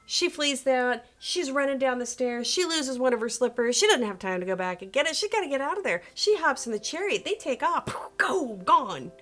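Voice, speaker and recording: A woman hosting a video and telling a story casually and comedically, in an animated, sarcastic manner with playful asides and self-interruptions. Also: sits high, normal speed, few pauses, faint music behind.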